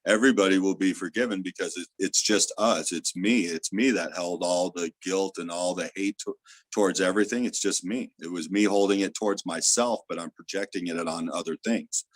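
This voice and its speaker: Guest: man, very low voice, loud and earnest, dry close sound, explains with many fillers. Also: brisk, no echo. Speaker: theta healer guest. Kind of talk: casual spiritual interview talk.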